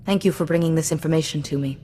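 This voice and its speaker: Quick, composed lowish-voiced woman an actress playing Jedi. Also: fairly even intonation, warm tone, slight background noise, lightly acted. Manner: polite, composed. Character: calm, composed female Jedi diplomat.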